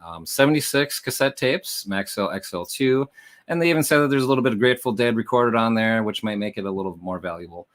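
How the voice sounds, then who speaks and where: A man's voice: medium pitch, lively intonation, quick pace, fairly bright tone. A man hosting a livestream in a casual, explanatory style, talking directly to viewers like a conversation. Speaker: livestream host and reseller.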